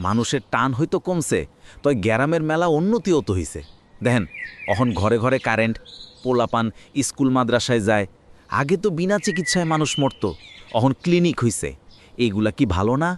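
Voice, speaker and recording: A man's voice: mid-range voice, animated, fairly quick, a little hiss or hum, lightly acted. An actor playing a respectful, idealistic young village man in a cartoon, speaking with a rural Bangladeshi accent. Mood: hopeful. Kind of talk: cartoon drama dialogue.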